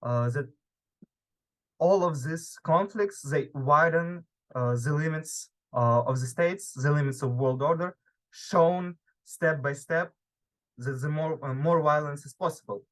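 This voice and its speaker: A man from Ukraine speaking on a forum panel over a video call, halting, with many pauses on fillers. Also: fairly high pitch.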